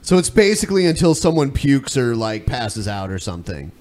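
A man's voice: medium pitch, big pitch swings, a little fast, darkish tone. A man hosting a show in casual, profane banter, blunt and teasing. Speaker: show host.

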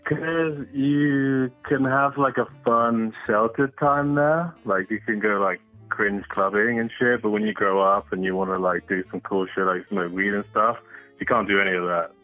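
A laid-back caller in his thirties from Singapore, slangy and quick to laugh, with a fairly low voice. He comes through a tinny, dry phone line with faint music behind him. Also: fairly even intonation, dry recording. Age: 30s. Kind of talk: casual chat by phone.

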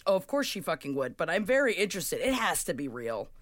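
A young woman co-hosting a casual comedy podcast, loud and swearing as she tells stories. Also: on the low side, fast talker. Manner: loud, swearing, lively storyteller. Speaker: podcast co-host.

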